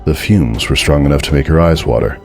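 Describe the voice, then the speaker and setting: Male narrator, extremely low pitch, dramatic horror storytelling with clearly audible music underneath. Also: fairly quick, a little mellow.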